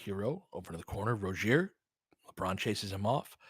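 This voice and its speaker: Male fan commentator for basketball livestream: bright, forward voice at an average pitch, dry close sound, informal and opinionated. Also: animated, normal speed, husky, dry recording. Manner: informal and opinionated, clipped phrases during play, talking back to listeners. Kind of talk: casual fan play-by-play commentary mixed with chat.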